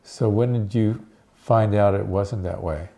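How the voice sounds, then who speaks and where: A man's voice: on the low side, a little slow, gravelly, mellow. A man hosting a community television interview, asking simple questions and giving brief encouraging backchannels. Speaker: host.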